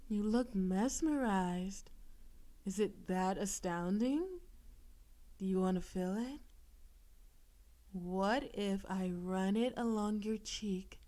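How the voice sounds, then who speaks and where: Slow, possessive demon girlfriend, voiced by a woman. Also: medium pitch, natural voice. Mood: teasing, doting. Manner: slow, teasing, softly commanding, lapsing into breathy whispers. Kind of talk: intimate audio roleplay addressed to the listener.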